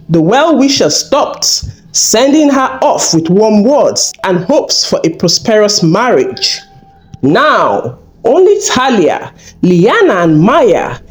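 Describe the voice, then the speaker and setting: A woman storyteller narrating a scripted folktale in a measured, dramatic, expressive way with deliberate phrasing. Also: medium pitch. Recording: voice over background music. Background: music.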